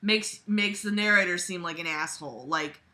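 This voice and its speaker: Exasperated woman with a very bright voice. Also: fairly low pitch, moderate pace. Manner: exasperated, blunt, sweary. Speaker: book reviewer reading aloud and critiquing. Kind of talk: casual sarcastic commentary while reading a novel aloud.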